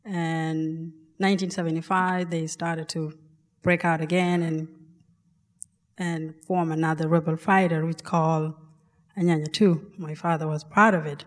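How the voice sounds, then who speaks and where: South Sudanese woman, low-pitched, slow and halting, live storyteller in a reverberant hall. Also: little pitch movement. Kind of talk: live personal storytelling on stage. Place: large performing arts hall.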